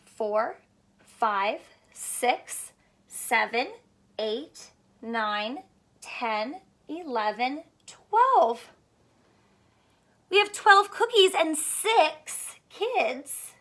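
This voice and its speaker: High-pitched young woman teaching children slowly. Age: young adult. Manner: animated, questioning, counting out slowly and clearly for kids. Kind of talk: read-aloud math lesson for children. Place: small furnished room at home, indoors.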